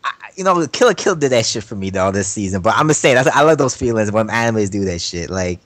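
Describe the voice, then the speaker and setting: Male panelist, mid-pitched, dry close sound, loose slangy fan talk with frequent swearing. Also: lively intonation, quick pace, fairly bright tone, dry recording. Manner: slangy, often interjects "yo" and swears. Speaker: podcast panelist. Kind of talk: casual anime fan chat.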